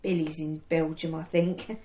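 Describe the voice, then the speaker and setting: A woman's voice: low voice, little pitch movement, a little slow, warm tone. Woman acting a loving wartime sweetheart. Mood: concerned. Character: loving, anxious young soldier's sweetheart on the home front. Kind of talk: period radio drama acting.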